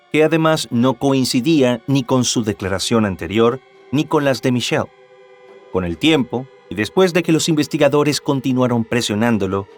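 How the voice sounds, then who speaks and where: A man narrating a true-crime documentary from a script in a measured, formal way. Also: medium pitch. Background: background music.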